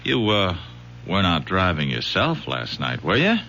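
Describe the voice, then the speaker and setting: An actor playing a sly, nosy police detective in a radio drama, rambling and hesitating with false casualness. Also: male voice, low-pitched, wildly swooping pitch, measured pace, pushed and bright, heavily acted. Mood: folksy, probing. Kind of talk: 1970s radio drama acting. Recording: noisy, humming old radio recording.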